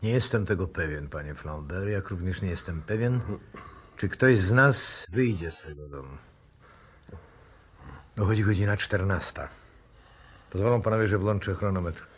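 Man acting a grim, deep-voiced fatalistic professor. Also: lightly acted. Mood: cool, resigned. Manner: deliberate, ominous, theatrical, swelling from hushed to forceful. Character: grim, fatalistic male professor calmly awaiting a deadly explosion. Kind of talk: 1960s Polish radio drama acting. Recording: noisy old radio drama recording.

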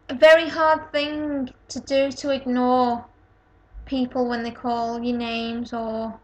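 A woman's voice: sits high, a bit bright, low-quality recording. Tired, rambling Lancashire-accented young woman. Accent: Lancashire-type northern English. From North West England. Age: 20s. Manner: rambling, self-deprecating. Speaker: vlogger. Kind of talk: casual webcam video response. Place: kitchen at home, indoors. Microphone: webcam built-in mic.

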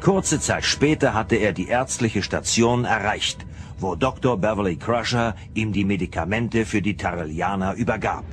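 A man's voice: fairly low pitch, moderate pace. A man narrating a science fiction radio play from a script. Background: loud dramatic music.

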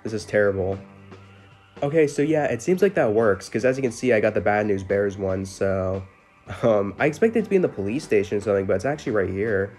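Guy w a fairly low voice, chill slangy gaming video narration, some music in the background. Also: animated, brisk, a little mellow. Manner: informal, slangy. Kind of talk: casual gameplay commentary. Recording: music behind the voice.

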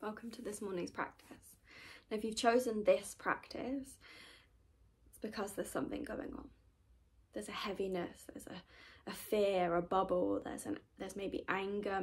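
Soft-spoken woman guiding meditation, creaky voice. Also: medium pitch, steady tone, measured pace. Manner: gentle, soothing, often trailing into breathy whispers. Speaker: meditation guide.

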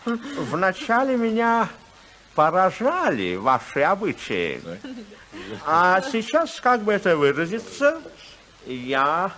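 A man playing a polite, halting Japanese embassy secretary in an old, noisy radio drama. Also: high-pitched, wildly swooping pitch, bright, forceful tone, exaggerated voice. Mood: courteous. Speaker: actor in a radio play. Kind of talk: 1950s radio drama acting. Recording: noisy 1950s radio recording.

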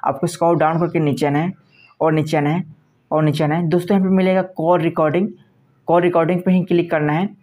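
A man narrates a tech tutorial. His voice sits a little high and he moves along quickly. He gives instructions in repetitive, step-by-step phrasing. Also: little pitch movement, darkish tone. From India.

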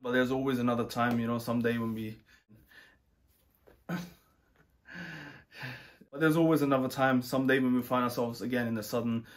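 A man chatting casually about a trip, rambling and relaxed with many fillers and restarts. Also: medium pitch, a little fast, long pauses. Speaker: travel vlogger. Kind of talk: casual chat.

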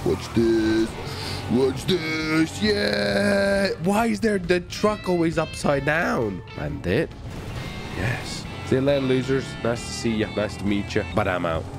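A man giving excited, boisterous gameplay commentary as a video host, with frequent shouts and swearing. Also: on the high side, takes it slowly, music in the background.

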